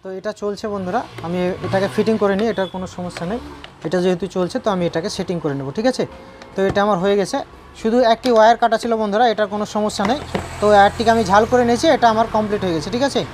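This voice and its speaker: A woman narrates a hands-on tutorial at a very rapid clip. Her pitch sits in the middle for a woman. She repeats her points and checks in often with tag questions, over faint background noise. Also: fairly even intonation. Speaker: repair tutorial presenter.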